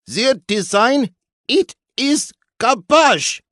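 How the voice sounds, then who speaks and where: A vain, hot-tempered designer who sneers at everything in broken English. His voice sits very high and shrill, recorded close and dry. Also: expressive, drawn-out words, a bit bright, no room sound, full character voice. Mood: scornful. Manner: haughty, explosive, broken English. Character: temperamental male designer with foreign accent. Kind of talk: audiobook character voice.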